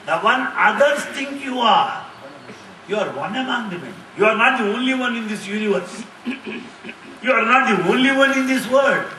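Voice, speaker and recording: A middle-aged man answering audience questions in a lively talk, repeating key phrases and laughing often. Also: very high-pitched, normal speed. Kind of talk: lively lecture with jokes. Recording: echoey hall, distant mic, faint hiss.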